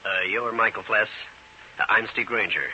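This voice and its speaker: An actor playing a wisecracking New York private detective in an old radio drama, terse, slangy and wry. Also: male voice, on the low side, normal speed, sharp tone. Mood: businesslike. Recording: dull, hissy old radio recording.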